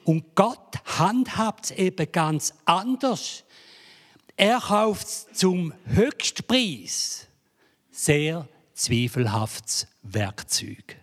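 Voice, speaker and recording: A man's voice: on the high side, sing-song, extremely slow, a bit bright. A man preaching a sermon in a church hall, joking and building to dramatic emphasis. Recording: reverberant hall.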